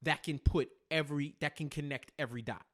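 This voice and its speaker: A man co-hosting a casual recap chat, speaking in a halting way and repeating phrases as he thinks aloud. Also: on the high side, fairly even intonation, a bit bright. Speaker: podcast co-host.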